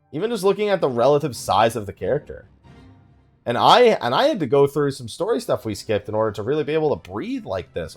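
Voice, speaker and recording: A man giving casual let's play commentary, rambling and thinking out loud with mild exasperation. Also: medium pitch. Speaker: gaming video host. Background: game music.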